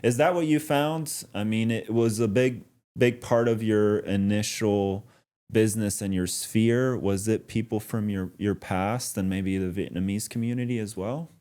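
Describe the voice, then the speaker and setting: A man with a fairly low voice hosts a casual podcast interview. He is conversational and affirming, adding explanations of his own between questions. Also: fairly even intonation, a little slow, a little mellow. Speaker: podcast host, real estate agent.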